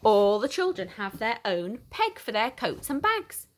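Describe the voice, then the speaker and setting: A woman reading a children's picture book aloud in an animated, expressive way for young listeners. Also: a little high, measured pace. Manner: animated, simple questioning aimed at small children. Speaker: children's story reader.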